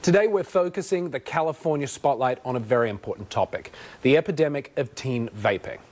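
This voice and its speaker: A man's voice: mid-range voice, brisk, a little mellow. A man hosting a scripted TV health segment, leading an interview with upbeat, emphatic questions. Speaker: host.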